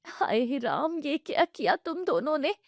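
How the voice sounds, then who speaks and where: Cartoon role: a woman doing a bitter, scolding mother-in-law in a high voice. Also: big pitch swings, measured pace, no echo, studio quality, heavily acted. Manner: complaining, scolding. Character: harsh older mother-in-law.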